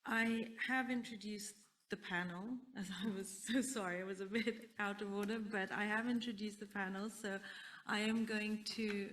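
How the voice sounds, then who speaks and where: A woman's voice: mid-range voice, steady tone, normal speed, a bit bright, slight background noise. A woman moderating a conference panel, polite and a little apologetic as she hands over to a speaker. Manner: polite, slightly apologetic, with fillers.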